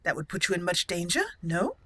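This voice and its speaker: A woman hosting gameplay and improvising in character as an elf mage, speaking in thoughtful, halting, slightly formal phrases. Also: on the low side, very animated, sharp tone, breathy edge. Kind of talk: improvised in-character roleplay commentary.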